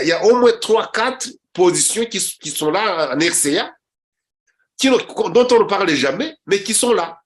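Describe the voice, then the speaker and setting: A man from Rwanda, middle-aged, hosts a political talk show as a journalist. His voice sits a little higher than most men's. He often halts, repeating words and starting over before pressing on with his commentary. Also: a bit bright. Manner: halting, with frequent repeated words and restarts.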